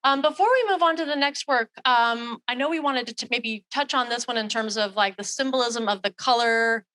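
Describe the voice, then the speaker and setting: A woman's voice: fairly high pitch, a little fast, bright, forceful tone. A woman who is an art program director, interviewing an artist on a gallery walkthrough in an encouraging, conversational way.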